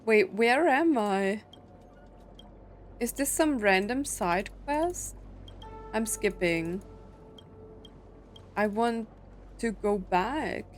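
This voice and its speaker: Girl streamer chatting thru a game, slow unhurried talk, faint music behind her. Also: mid-range voice, expressive. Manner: chatty, thinks aloud. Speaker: gaming streamer. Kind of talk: casual playthrough commentary.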